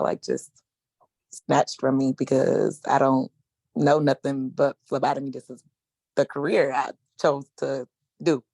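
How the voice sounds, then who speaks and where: Panelist, male, 30s, casual and blunt, slangy, gravelly texture. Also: medium pitch, a little mellow, no room sound. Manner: frank, casual, slangy, hesitant fillers. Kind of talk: personal testimony on a panel.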